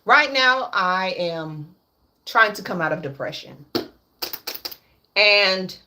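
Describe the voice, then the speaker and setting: A woman's voice: mid-range voice, animated, slow, background noise. A woman in her late thirties speaking on a candid livestream, blunt and profane, repeating her points for emphasis. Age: 30s. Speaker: livestream host.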